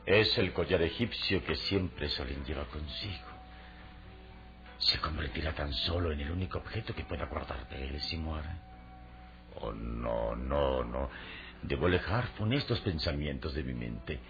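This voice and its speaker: A man's voice: very deep voice, animated, unhurried, a bit bright, exaggerated voice. A man acting a noble, brooding adventurer hero in a Latin American radio serial, speaking in grave, formal, dramatic phrases. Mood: anguished, brooding. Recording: dull radio-drama recording with music underneath.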